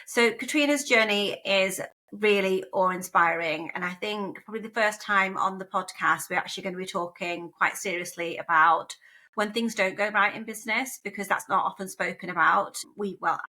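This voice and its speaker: A woman's voice: mid-range voice, normal speed, pushed and bright. A woman hosting a business podcast, introducing her guest with some hesitant asides. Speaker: podcast host. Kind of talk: podcast interview.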